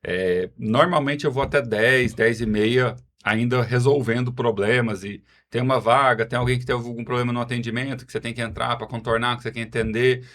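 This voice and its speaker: A man's voice: mid-range voice, steady tone, brisk, hardly any pauses, fairly bright tone. A man with a Goiás Brazilian accent giving casual interview answers, self-deprecating and wandering into explanatory asides. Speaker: podcast guest, city health secretary.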